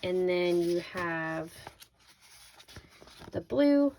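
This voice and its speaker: A woman with a slightly raspy voice hosting a casual craft unboxing, chatting informally and thinking aloud as she shows each item. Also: on the low side, lively intonation, drawn-out words, darkish tone. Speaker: craft video host. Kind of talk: casual unboxing product walkthrough. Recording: noisy, with crinkling handling sounds.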